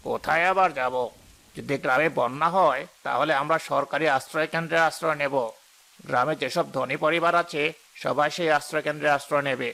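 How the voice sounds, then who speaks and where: Cartoon casting: male actor as greedy, callous village boss, forceful bright tone, fairly high pitch, scolding. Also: steady tone, a little fast, creaky, low-quality recording. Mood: dismissive. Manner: dismissive, scolding, self-important. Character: greedy, callous, deceitful village headman and husband. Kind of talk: cartoon voice acting. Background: faint music.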